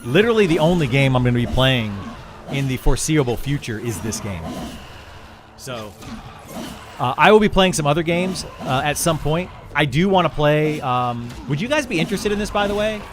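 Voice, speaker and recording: A man's voice: on the high side, expressive, moderate pace. Man chatting on a noisy livestream. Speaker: video game streamer, host. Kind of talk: casual livestream chat, answering viewers. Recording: clear background noise and ambience.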